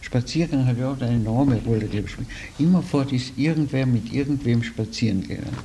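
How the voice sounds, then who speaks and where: A man's voice: medium pitch, fairly quick, dark tone, a little hiss or hum, low-quality recording. An elderly man, reminiscing in anecdotes as an interviewee.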